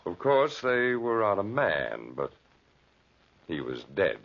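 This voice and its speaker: An actor in a 1940s radio drama playing a huge, ugly, bitter hermit who speaks with slow-burning, ominous menace. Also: male voice, sits low, very animated, gravelly, full character voice. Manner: deliberate, ominous, theatrical delivery. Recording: dull, muffled 1940s radio recording.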